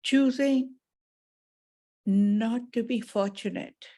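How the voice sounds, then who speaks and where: A woman leading a guided meditation and spiritual talk in a measured, soothing manner, pausing often and deliberately between phrases. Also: a little high, dark tone.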